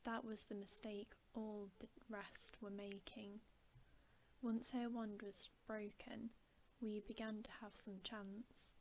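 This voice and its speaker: Hushed, slow woman reading ASMR bedtime story. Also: mid-range voice, flat delivery, a little hiss or hum. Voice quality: soft, breathy, often whispered. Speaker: ASMR host reading aloud.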